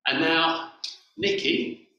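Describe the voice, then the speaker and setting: A man's voice: a little high, huge pitch swings, slow pace, creaky, bright, forceful tone, dull audio, a little hiss or hum. A man in his forties, a vicar giving a playful all-age sermon and directing his congregation through games. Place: church hall with a lectern. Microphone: far camera on the floor. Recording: echoey church from far off.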